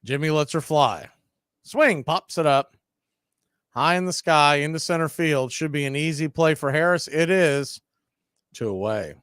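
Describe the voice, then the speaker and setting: A man calling a live baseball game on radio, chatting and telling side stories between pitches. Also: a little slow, fairly bright tone, clear, resonant tone, no room sound, clean studio sound. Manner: chatty, tells side stories and laughs at them. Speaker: radio play-by-play announcer. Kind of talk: live baseball play-by-play with casual chat.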